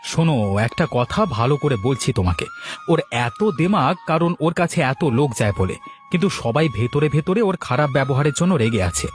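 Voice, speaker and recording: A man's voice: mid-range voice, lively intonation, normal speed, lightly acted. Cartoon adviser, played by a man, shrewd village pal, insistent and coaching, over faint music. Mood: insistent, encouraging. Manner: instructive, insistent, encouraging. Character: shrewd village friend egging on a grudge. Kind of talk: animated moral story dialogue.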